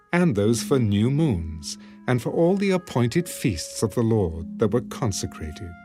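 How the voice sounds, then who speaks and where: A man narrating scripture for a dramatised audiobook in solemn, measured phrases. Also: mid-range voice, darkish tone. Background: music.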